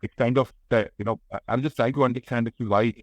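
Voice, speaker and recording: An Indian-accented man, a financial analyst, asking a question on an earnings call in tentative, halting phrases. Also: medium pitch, steady tone, dry recording.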